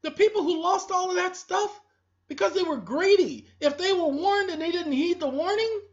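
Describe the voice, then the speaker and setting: A woman's voice: extremely high pitch, animated, moderate pace. A woman hosting her own videos, lecturing her viewers in a preachy, scolding and repetitive monologue. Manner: preachy, scolding, repetitive, talks straight to the audience. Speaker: online video host. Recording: dull lo-fi recording.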